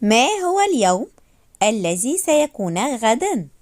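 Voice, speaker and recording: A woman teaches beginner phrases in a raised, animated voice. She says each phrase slowly, repeats it, and then gives a quick translation. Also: fairly high pitch. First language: Arabic. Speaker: language teacher. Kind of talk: slow phrase drilling for learners.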